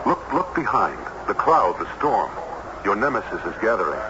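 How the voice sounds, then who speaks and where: An actor playing an earnest, urgent traveler who narrates a supernatural tale in a literary, dramatic old radio style. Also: male voice, fairly low pitch, sing-song, normal speed. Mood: pleading, earnest. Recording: muffled, very noisy old radio recording.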